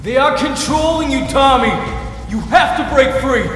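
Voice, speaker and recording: A woman's voice: sits high, moderate pace, close to an everyday voice. A woman playing a passionate young heroine, pleading in an audio drama. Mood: desperate pleading. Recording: echoey, distant, faint music.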